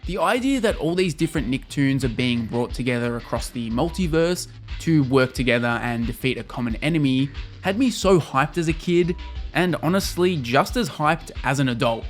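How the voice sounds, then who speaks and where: A man's voice: mid-range voice, animated, moderate pace, soft background music. A young adult man narrating a scripted video essay as a game reviewer and host.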